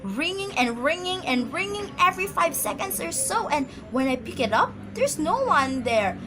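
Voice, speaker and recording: High-pitched, nervous, demanding wife played by a woman. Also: big pitch swings, moderate pace, music in the background, poor audio. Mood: irritated. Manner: imperious, complaining, emotional. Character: nervous, demanding invalid wife, shrill and panicky. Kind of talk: amateur radio drama acting.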